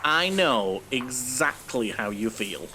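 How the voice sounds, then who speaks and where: A lonely middle-aged Northern English man, played by an actor in a radio comedy-drama, with very lively, swinging intonation. He is naive and earnest, rambling bluntly into oversharing. Some ambient noise sits behind him. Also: mid-range voice, takes it slowly, heavily acted, low-quality recording. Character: naive, lonely, sex-obsessed, chatty middle-aged man grieving his mother. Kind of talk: audio play acting.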